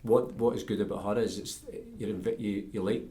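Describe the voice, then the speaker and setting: A man's voice: on the low side, steady tone, normal speed, mellow. A man hosting a casual conversational interview, thoughtfully circling his way toward each point. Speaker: interviewer, podcast host.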